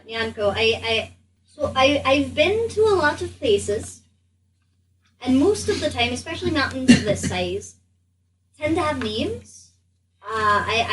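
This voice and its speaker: Woman playing hesitant, stammering, bewildered adventurer, slightly high-voiced. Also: lightly acted. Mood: puzzled. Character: bewildered, polite traveled adventurer in a strange body. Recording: distant mic, background noise.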